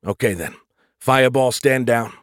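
A man's voice: medium pitch, moderate pace, no echo, clean studio sound, close to an everyday voice. A man voicing a blunt, commanding military starship captain in an audiobook, urgent and sarcastic.

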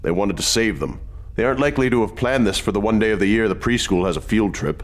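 An actor playing a calm, seasoned male military sergeant who negotiates in a measured, polite way. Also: lowish voice, natural voice. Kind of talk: audiobook dialogue.

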